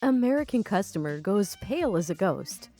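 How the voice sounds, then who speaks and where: A woman's voice: mid-range voice, sing-song, normal speed, warm tone, faint music behind. Chatty woman reading stories aloud. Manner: chatty, laughs often, swears casually. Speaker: storytime YouTuber. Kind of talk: casual reading of online stories with commentary.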